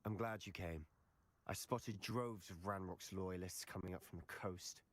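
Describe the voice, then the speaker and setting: A man's voice: deep voice, steady tone, a little slow, dry recording. A man playing a reckless, determined teenage wizard student in a video game, speaking earnestly and persuasively. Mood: frustrated, urgent. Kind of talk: scripted video game dialogue.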